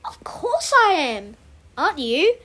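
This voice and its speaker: A woman's voice: sits high, big pitch swings, takes it slowly, a bit bright, full character voice. A woman voicing a proud, self-assured schoolboy who talks in a matter-of-fact, boastful way in a children's story.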